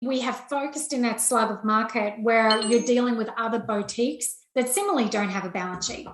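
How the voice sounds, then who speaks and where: Australian woman, slightly high voice, plain-spoken interview guest, conversational delivery, noticeably echoey recording. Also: brisk. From rural Australia. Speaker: interview guest, investment banking executive. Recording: roomy, echoey sound.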